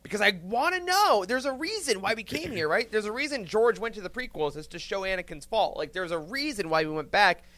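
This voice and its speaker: A man's voice: high-pitched, expressive, brisk, few pauses, pushed and bright. A man in a casual roundtable discussion, talking in an emphatic, informal, analytical way. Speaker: podcast panelist.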